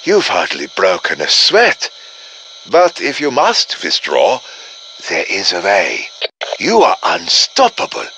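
An actor playing an urgent, commanding professor who briefs soldiers in a deliberate, dramatic manner, as video game voice lines. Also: male voice, deep voice, huge pitch swings, bright, forceful tone, a little hiss or hum, full character voice, low-quality recording. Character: urgent, commanding male professor guiding soldiers against demons. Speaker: game character voice, mission guide.

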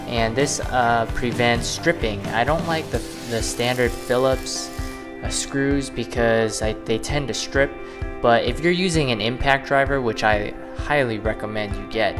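Man, 30s, laid-back DIY host walking through a build, mid-range voice, clear music bed underneath. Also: mid-range voice, a little slow. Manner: relaxed, explanatory, frequent "uh" fillers. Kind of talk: casual how-to narration. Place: small home laundry room, then voice-over over garage workshop footage. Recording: voice over clear background music. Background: background music.

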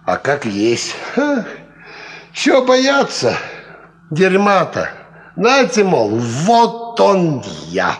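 A drunken old peasant soldier. His high voice swoops widely from muttering to bellowing, boastful and fearless. It has the sound of a 1950s Soviet radio drama. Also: huge pitch swings, extremely slow, exaggerated voice. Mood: reckless, boastful. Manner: drunken drawl, swinging from mutters to shouts. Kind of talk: Soviet radio play acting.

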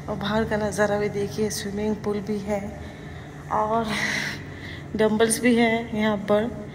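A woman in her thirties filming a casual workout vlog on her phone in an empty hotel gym, explaining exercises in a chatty, instructive way and mixing English words into her talk. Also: medium pitch. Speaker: fitness vlogger. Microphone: phone camera, handheld and propped. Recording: echoey gym hall with noise.

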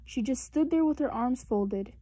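A woman reading aloud as a children's storybook narrator, expressive and with deliberate emphasis. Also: high-pitched, no echo. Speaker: storybook reader.

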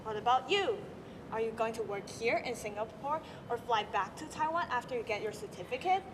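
A woman's voice: fairly high pitch, expressive, fairly quick, noisy background. A young woman playing a kindergarten teacher in a school stage skit, speaking polite, recited English. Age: young adult. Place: large auditorium stage. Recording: hall reverb with music behind.

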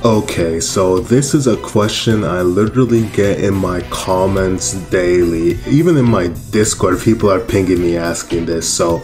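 A teenage guy from Canada talks casually to his viewers in a deep, low voice. He laughs at himself as he goes. Faint music sits quietly under his voice. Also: normal speed, few pauses. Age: teens. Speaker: YouTuber.

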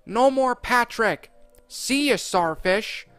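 A man voicing a spiteful male squid neighbor who taunts with loud mocking glee. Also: very high-pitched, lively intonation, slow, no echo, faint music behind, pitched above the performer's own voice, exaggerated voice. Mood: gleeful.

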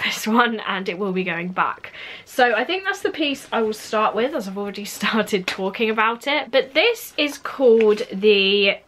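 Chatty young woman, bright voice. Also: medium pitch. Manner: chatty, lots of "like" fillers. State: just over a cold. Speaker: fashion vlogger. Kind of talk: casual clothing haul review. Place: bedroom at home, indoors.

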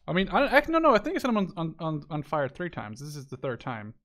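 A man's voice: fairly high pitch, huge pitch swings, quick pace, a bit bright. A man chatting casually and self-deprecatingly with a teammate while playing a video game. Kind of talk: casual gaming chat with a teammate.

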